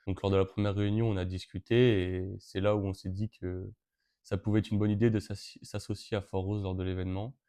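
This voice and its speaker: Young man from Brittany, late teens, flat low delivery, halting with many restarts. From Brittany, France. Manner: hesitant, frequent fillers and restarts. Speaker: interviewee, event co-organizer. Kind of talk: casual interview answers.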